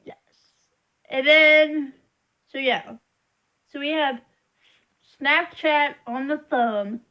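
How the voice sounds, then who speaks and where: High-pitched young woman venting slowly. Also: lo-fi sound. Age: young adult. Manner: frustrated, rambling, emphatic complaining. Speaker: vlogger. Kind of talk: casual venting monologue.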